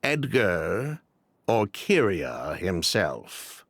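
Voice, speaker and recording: An actor playing a glib, evasive perfume fraudster in a fantasy game, theatrical and smoothly self-justifying. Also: male voice, low voice, wildly swooping pitch, extremely slow, fairly bright tone, no echo, heavily acted. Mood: earnest, persuasive. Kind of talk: acted game dialogue.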